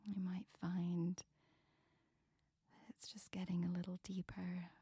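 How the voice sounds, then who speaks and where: Woman whispering soft meditation, gravelly breathy tone, flat and calm. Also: on the low side, no echo, clean studio sound. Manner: soft unvoiced whisper, slow and soothing. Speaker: meditation guide.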